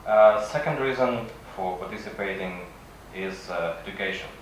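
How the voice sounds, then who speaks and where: A man with a Russian accent, a native Russian speaker, giving a halting conference presentation with frequent pauses. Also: sits low. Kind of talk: conference presentation, semi-improvised. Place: conference session room. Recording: echoey room from far off, faint noise.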